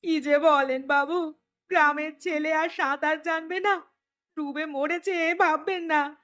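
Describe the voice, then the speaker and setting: An anxious village mother voiced by a woman in a horror cartoon. The voice is high and pleading, that of a worried rural parent. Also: steady tone, a little slow, a bit bright.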